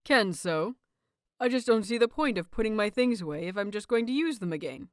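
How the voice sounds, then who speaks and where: A woman voicing a playful, excitable young husband in an audio story, chatty, teasing and self-deprecating. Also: mid-range voice, a little fast, dry recording, studio quality, close to an everyday voice. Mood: playful protest.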